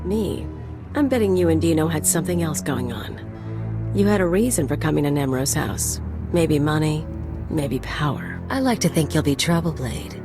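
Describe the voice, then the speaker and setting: A woman's voice: deep voice, animated, normal speed, over music. An actress playing a dangerous, flirtatious female mercenary in a video game, teasing with sly menace. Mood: mocking, flirtatious.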